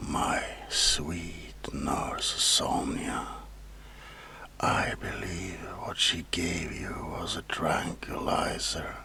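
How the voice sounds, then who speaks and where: A man playing a sinister, obsessive mad asylum doctor in a whispered ASMR horror roleplay, slow and deliberate with menacing pauses. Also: very deep voice, sharp tone, exaggerated voice. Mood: calm menace. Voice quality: breathy whisper with gravelly creak. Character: sinister mad asylum doctor, over eighty years studying, obsessed with immortality.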